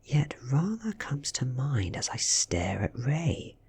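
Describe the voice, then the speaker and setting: A man with a British accent giving a soft-spoken, breathy and hushed ASMR reading of a story, delivered dramatically. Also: mid-range voice, huge pitch swings, takes it slowly. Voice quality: breathy, whispery. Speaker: audiobook reader.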